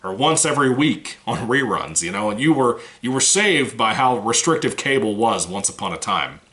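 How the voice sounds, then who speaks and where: A man's voice: mid-range voice, big pitch swings, normal speed, bright, forceful tone. A man giving a casual, rambling movie review, frank and swearing now and then, his voice still recovering from a cold.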